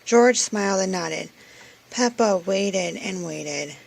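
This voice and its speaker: A woman narrates a children's storybook in an expressive read-aloud style. Her voice sits at a medium pitch and has a slightly husky, unpolished edge. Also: takes it slowly, low-quality recording. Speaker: story reader.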